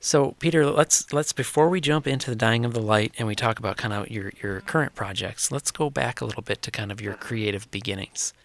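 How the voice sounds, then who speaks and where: A man's voice: medium pitch, a little fast, few pauses. A man co-hosting a podcast, chatty and full of fillers as he introduces the guest. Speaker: podcast co-host. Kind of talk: podcast interview.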